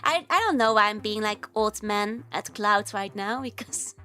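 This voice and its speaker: A woman's voice: mid-range voice, lively intonation, moderate pace, bright, forceful tone, faint music behind. A young woman with a Dutch accent chatting casually on a livestream, giggly and rambling with lots of fillers. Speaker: VTuber streamer.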